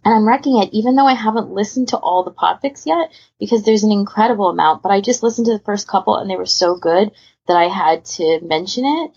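A woman's voice: mid-range voice, fast, darkish tone, slightly rough. A woman co-hosting a podcast, chatting casually in a rambling, conversational way full of asides. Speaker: podcast co-host. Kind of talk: casual podcast chat, recommending audio stories. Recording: muffled, lo-fi voice recording.

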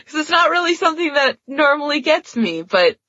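A woman's voice: high voice, very animated, a little fast, bright, forceful tone, no echo, lo-fi sound. A woman co-hosting a podcast, talking casually in discussion. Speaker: podcast co-host.

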